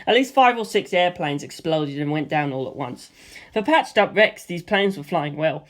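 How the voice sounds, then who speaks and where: A man reading a first-person action story aloud in a lively way, voicing gunfire sound effects himself. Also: a little high, moderate pace. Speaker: audiobook reader.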